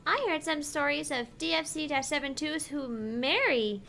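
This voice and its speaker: Very high, bright, cutesy android girl. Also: unhurried, vocal fry, slight background noise, full character voice. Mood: matter-of-fact. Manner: cutesy, forward, teasing.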